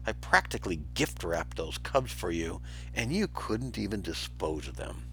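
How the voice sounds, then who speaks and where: A menacing male lion villain from a children's storybook, acted out by a man reading aloud. He is sly and commanding, with forceful delivery and a pitch that moves dramatically. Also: very animated, moderate pace, soft background music, heavily acted, poor audio. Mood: sly, scornful. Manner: sinister, commanding.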